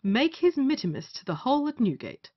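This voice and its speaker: A woman's voice: high-pitched, big pitch swings, brisk. A woman playing a bossy, scheming male justice of the peace in an old stage comedy, theatrical and commanding. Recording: muffled lo-fi audio.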